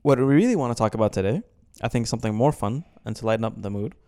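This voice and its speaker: A man's voice: on the low side, very fast. A young man from Kuwait with an American-sounding accent, co-hosting a casual sports chat and giving his opinions. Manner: opinionated, animated when ranting. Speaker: podcast co-host.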